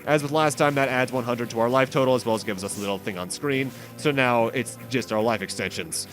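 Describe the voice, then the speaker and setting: A man's voice: mid-range voice, brisk, a bit bright, poor audio. A man hosting a video game playthrough, giving commentary with rambling, self-interrupting asides. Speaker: host of a game playthrough series. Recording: game music behind the voice. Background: video game music.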